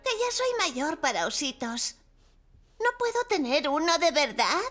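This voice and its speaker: A woman's voice: sits high, unhurried, soft background music, heavily acted. A woman voicing an eager, curious young girl in a cartoon dub. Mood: pleading. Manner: eager, excitable.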